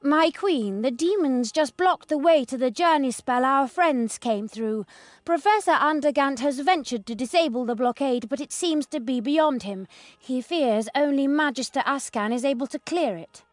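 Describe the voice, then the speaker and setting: Actress as a dutiful female fantasy game messenger, high and dry voice, formal urgency. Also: normal speed, dry recording, faint music behind, lightly acted. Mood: urgent. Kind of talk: dramatic video game voice acting.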